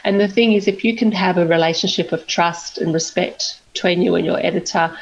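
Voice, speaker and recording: A woman speaks as a novelist guest, chatty and self-deprecating, with a voice that often slips into gravelly fry. Her audio is dull and lo-fi. She talks candidly and laughs easily. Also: fairly low pitch. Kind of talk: candid conversational interview.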